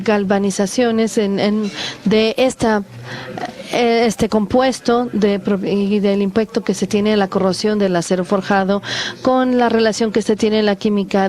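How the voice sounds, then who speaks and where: A woman interpreting a technical conference talk live, speaking haltingly with many pauses and restarts. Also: mid-range voice, mellow.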